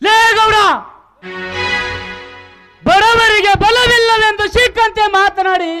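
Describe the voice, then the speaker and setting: Casting: poor, protective young brother, furious and defiant, very high declaiming stage voice over loud music. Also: male voice, steady tone, takes it slowly. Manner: high-pitched shouting, oratorical delivery. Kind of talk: loud, declamatory folk theatre acting. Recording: live stage play recording with loud music.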